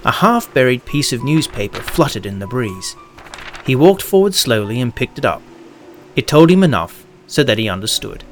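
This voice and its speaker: A man's voice: medium pitch, sing-song, normal speed. A man reading scripted narration as the narrator of an audio production. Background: background music.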